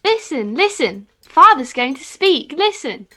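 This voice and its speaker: A woman's voice: high voice, sing-song, a little slow, bright, forceful tone, full character voice. A woman playing a sweet, devout, frail little boy in a radio play, innocent and eager in manner.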